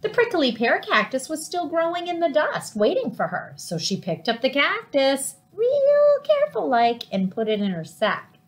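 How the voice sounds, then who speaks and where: A middle-aged woman reading a children's storybook aloud in an animated way, with dramatic, drawn-out emphasis. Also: high voice. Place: living room at home, indoors.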